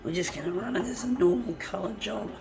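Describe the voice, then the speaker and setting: A woman, hoarse from a flu with a blocked nose, chatting and swearing freely as she works. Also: medium pitch, fast, a loud crowd. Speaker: artist. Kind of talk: casual talk while working.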